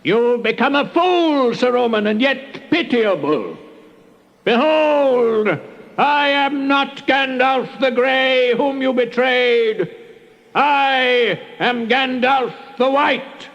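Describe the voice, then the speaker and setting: An actor playing a commanding old wizard, stern yet kindly and theatrical, in a fantasy audio drama. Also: male voice, very high-pitched, slow pace, gravelly, fairly bright tone, highs cut off, exaggerated voice. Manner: theatrical, declamatory. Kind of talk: fantasy radio drama acting.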